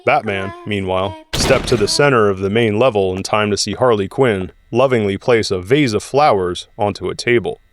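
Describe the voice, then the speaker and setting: A male narrator with a fairly low voice delivers scripted dramatic narration. Music plays softly behind him, in the manner of an audio drama. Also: moderate pace, few pauses. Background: music score.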